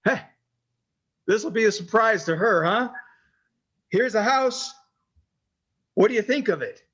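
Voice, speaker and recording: An eager, stammering young husband, played by a man, very high for a man and swinging widely in pitch. He is a boyish mama's boy in an old-time radio play. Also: expressive, measured pace, fairly bright tone, husky, muffled recording, exaggerated voice. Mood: eager, excited.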